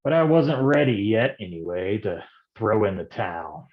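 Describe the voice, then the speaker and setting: Man playing a slangy, gruff noir private eye, swooping delivery. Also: fairly low pitch, big pitch swings, moderate pace, phone-quality sound. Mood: brooding. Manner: wisecracking, old-time gumshoe slang. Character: hard-boiled, slangy male private detective, gruff but protective. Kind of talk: noir detective staged reading.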